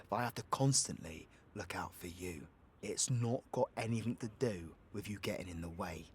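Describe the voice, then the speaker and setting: A man's voice: mid-range voice, a little hiss or hum, lightly acted. A British man playing a cocky, foul-mouthed but protective supervillain in an audio roleplay, with brash, teasing, slangy delivery. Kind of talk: audio roleplay acting addressed to the listener.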